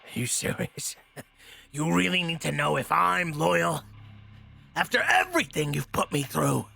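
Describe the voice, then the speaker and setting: Man playing a gravelly, street-tough henchman. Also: a little high, sing-song, a little slow, bright, forceful tone, exaggerated voice. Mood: guarded, indignant. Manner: casual, blunt, swearing street talk. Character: tough, blunt, street-hardened male henchman, hot-tempered and wry. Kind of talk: audio drama acting.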